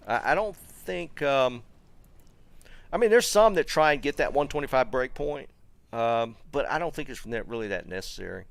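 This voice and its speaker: A middle-aged man with a Southern American accent from North Carolina, chatting casually while streaming a game and answering viewer comments, with plenty of fillers and restarts. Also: mid-range voice. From North Carolina, USA. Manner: relaxed, rambling, many fillers and restarts.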